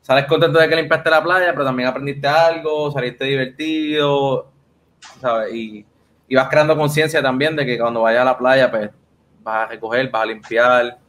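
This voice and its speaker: A man's voice: mid-range voice, steady tone, normal speed, fairly bright tone. A young man with a Puerto Rican accent interviewing casually as a podcast host, in informal, colloquial speech. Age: young adult. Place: indoor room on a video call. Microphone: studio microphone on a boom, headphones. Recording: faint background noise and ambience.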